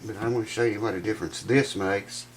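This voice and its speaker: Male workshop vlogger, low-ish voice, folksy and enthusiastic, nasal from congestion, faint room noise. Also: fairly even intonation, quick pace, low-quality recording. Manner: folksy, enthusiastic, says "man" a lot. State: congested, stuffed-up nose. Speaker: guitar repair channel host. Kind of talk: casual workshop vlog.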